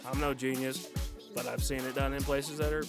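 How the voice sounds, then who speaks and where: A man's voice: medium pitch, level tone, brisk, vocal fry. A man hosting a music podcast, giving a casual, enthusiastic review and repeating words for emphasis.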